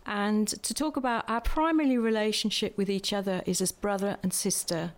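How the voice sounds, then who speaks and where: Woman, church panel guest, earnest and measured, mid-range voice. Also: mid-range voice. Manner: earnest, measured, with many pauses and fillers. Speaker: church speaker who prophesies.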